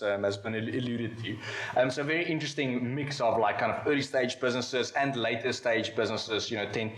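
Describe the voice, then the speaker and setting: A man's voice: mid-range voice, a bit bright. Chatty man moderating. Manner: chatty, many fillers. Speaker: panel moderator. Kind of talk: casual panel hosting. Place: conference venue. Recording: echoey event room.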